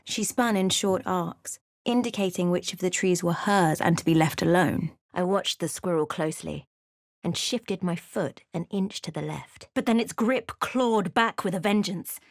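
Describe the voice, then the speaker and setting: An actress playing a thoughtful adventurer in a video game, with a measured, dramatic storytelling delivery. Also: female voice, fairly low pitch, no room sound, studio quality. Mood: hushed, tense narration. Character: thoughtful adventurer woman recounting her journey. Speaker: voice actor for a player character. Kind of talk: scripted game narration and character lines.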